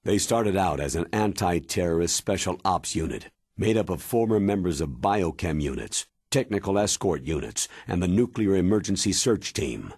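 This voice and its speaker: A stern military commander, low and husky. He explains things gravely and with authority, as in a video game briefing. The recording is dry. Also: animated, moderate pace, few pauses, a little mellow, no echo, full character voice. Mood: serious briefing. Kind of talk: dramatic video game cutscene acting.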